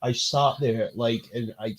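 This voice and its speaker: A man's voice: mid-range voice, fairly even intonation, measured pace. A Scottish man in casual, sweary banter on a live stream, laughing often.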